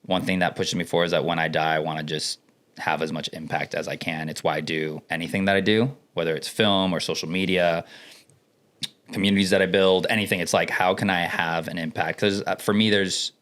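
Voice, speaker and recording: Young male co-host from the Dominican Republic, lowish voice, animated and expressive storyteller. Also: brisk. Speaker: podcast co-host. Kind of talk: casual conversation.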